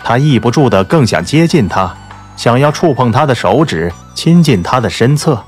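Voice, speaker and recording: Expressive male audiobook narrator. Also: mid-range voice, normal speed, breathy edge, soft background music. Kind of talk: scripted dramatic narration of a romance novel.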